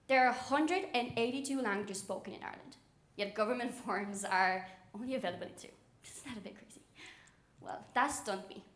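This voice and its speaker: A young woman from Romania, a native Romanian speaker, giving a live startup pitch into a microphone as personal storytelling. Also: a bit bright. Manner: personal storytelling with small chuckles. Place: indoor event room with an audience. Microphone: event microphone. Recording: echoey room, live event microphone.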